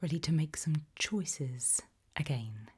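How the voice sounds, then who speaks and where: A woman whispering in a breathy, hushed and deliberate ASMR style, putting questions to the listener. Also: low-pitched, animated. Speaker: ASMR host.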